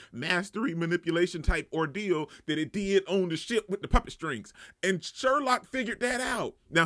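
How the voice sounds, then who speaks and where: A man giving a casual, excitable reaction and review as a channel host, often repeating himself for emphasis. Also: sits high, dry recording, clean studio sound.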